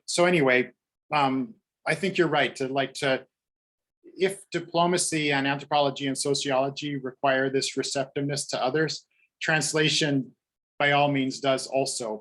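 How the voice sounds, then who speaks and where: A man's voice: mid-range voice, fairly bright tone. A middle-aged man speaking as a translator on a book panel over a video call, thoughtful and digressive, with many fillers and restarts. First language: English.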